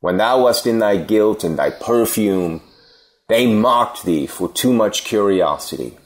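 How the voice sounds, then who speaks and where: Needling cynic philosopher in a shakespeare audio play, slow deliberate taunts, fairly low voice. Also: male voice, lively intonation. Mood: mocking. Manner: deliberate, mocking, theatrical.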